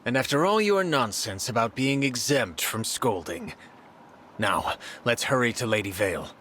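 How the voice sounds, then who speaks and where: Man as a grave knight, mid-pitched. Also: lively intonation, heavy background noise, natural voice. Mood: urgent. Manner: formal, measured, solemn. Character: grave, dutiful male knight, loyal and stern. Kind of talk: video game cutscene voice acting.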